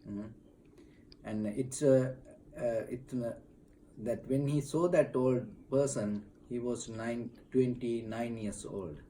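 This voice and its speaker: A man, a Buddhist monk being interviewed in a temple, explaining slowly with many fillers and restarts. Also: mid-range voice, steady tone, dark tone, slight background noise, rough recording. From Sri Lanka.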